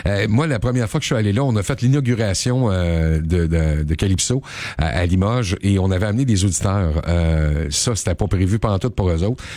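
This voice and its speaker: A man's voice: low voice, fairly quick, a little mellow, no room sound. A man hosting a morning radio show, chatting casually and joking, his voice jumping up on questions.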